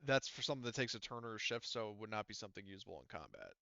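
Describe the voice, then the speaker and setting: Smooth-voiced man running a game, muffled audio. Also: lowish voice, sing-song, rapid-fire, bright, forceful tone, dry recording. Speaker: game master. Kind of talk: casual tabletop game talk.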